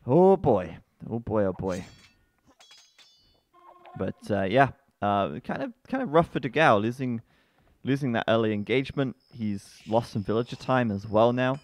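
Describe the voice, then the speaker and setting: A man commentating a strategy game match live, chatty and full of fillers, breaking into excited outbursts at the action. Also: a little slow. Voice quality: bassy-sounding voice. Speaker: esports commentator.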